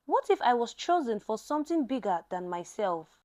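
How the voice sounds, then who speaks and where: A fearless, troubled heroine acted by a woman storyteller in a dramatic tale. She speaks earnestly and defiantly in a high, lively voice. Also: normal speed. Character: fearless young woman mechanic with troubling magical power.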